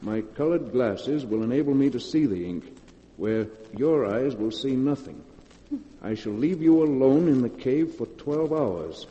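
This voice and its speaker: A male actor plays a tense, guilty man caught in a cave in a 1940s radio horror drama. His voice is very dark and rounded, with urgent, theatrical delivery. The old recording is muffled and carries a long, cavernous reverb. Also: mid-range voice, animated, a little slow, natural voice. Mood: grave reading.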